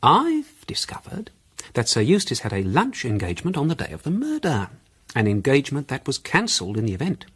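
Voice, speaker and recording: British man, period radio mystery: self-assured amateur detective, slightly husky, lively intonation, fussy precision with hesitations. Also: mid-range voice, a little fast, full character voice. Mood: discreet, insistent. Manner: hesitant, pedantic, fussily precise. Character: self-assured, discursive male amateur sleuth presenting his theory.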